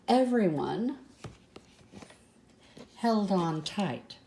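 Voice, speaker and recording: Older woman reading a storybook, slow and mellow. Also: mid-range voice, lively intonation, vocal fry, slight background noise. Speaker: storybook reader. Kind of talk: read-aloud children's story with asides.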